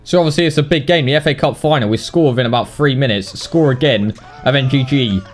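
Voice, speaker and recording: A man's voice: mid-range voice, quick pace, a little hiss or hum. A British man giving casual commentary as the host of a gaming video. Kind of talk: casual game highlights commentary.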